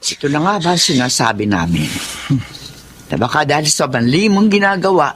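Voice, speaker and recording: A woman's voice: sits low, very animated, a little fast, background noise. A woman playing a worried, reproving middle-aged mother in Tagalog melodrama style.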